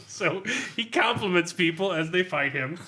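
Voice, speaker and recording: High-pitched, bright-voiced man, amused. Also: expressive, normal speed, slight background noise. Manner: amused, sardonic, often laughing while talking. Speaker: podcast host reviewing a tabletop game. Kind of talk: humorous casual review, reading aloud with commentary.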